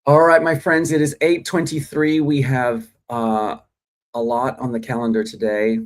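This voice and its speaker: A man's voice: mid-range voice, flat delivery, normal speed. Chatty male livestream host from Australia. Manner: chatty, reads viewer comments, frequent fillers. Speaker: host of a live acting stream. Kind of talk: casual livestream chat. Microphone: phone or desk mic, livestream.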